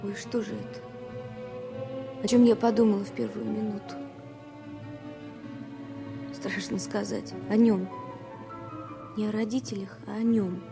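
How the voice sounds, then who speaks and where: Young lovestruck stewardess, anxious and earnest, medium pitch, old radio drama with music behind. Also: female voice, fairly even intonation, a little slow, creaky, long pauses. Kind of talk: radio play inner monologue. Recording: music bed behind 1970 radio play.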